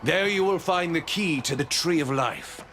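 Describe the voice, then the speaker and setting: An actor playing a proud and weary angel ruler, speaking in a grand, measured and prophetic way in a video game cutscene. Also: male voice, a little high, fairly bright tone, a little hiss or hum, close to an everyday voice. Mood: grave.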